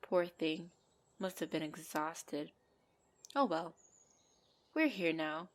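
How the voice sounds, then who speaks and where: Woman playing a low-voiced, bossy female centaur. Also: lively intonation, slow pace. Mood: softer, reassuring. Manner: bantering, commanding, dryly exasperated. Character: possessive female centaur, bossy, teasing, half-gentle captor. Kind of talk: ASMR roleplay acting.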